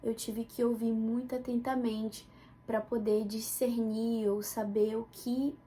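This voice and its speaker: A woman's voice: fairly high pitch, flat delivery, measured pace, warm tone, a little hiss or hum. A young woman with a northern Brazilian accent from Belém, giving a student presentation as a personal account. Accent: northern Brazilian Portuguese, Belém. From Belém, Pará, northern Brazil. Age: young adult.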